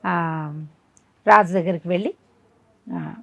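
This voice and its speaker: A woman's voice: fairly low pitch, slow pace, vocal fry, fairly bright tone, no room sound. A woman telling a folk tale informally, conversationally asking rhetorical questions as she narrates. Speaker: storyteller.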